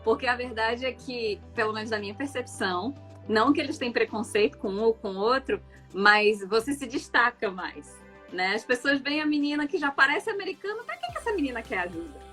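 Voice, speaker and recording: Lively young woman from the Amazon. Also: a little high, a little fast, soft background music. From Amazonas, Brazil. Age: 20s. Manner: lively, talkative, laughs easily. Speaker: guest. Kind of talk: casual interview chat.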